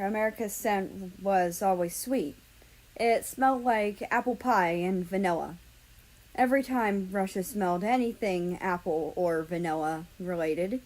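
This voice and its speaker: A woman's voice: mid-range voice, darkish tone, poor audio. A woman narrating a story as a fan fiction reader. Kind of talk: storybook-style narration with acted dialogue.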